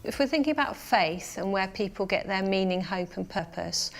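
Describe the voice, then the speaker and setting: A woman's voice: medium pitch, animated, normal speed, vocal fry, soft background music. Woman sociologist explaining research findings. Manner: explanatory, with hesitant fillers. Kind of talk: expert interview.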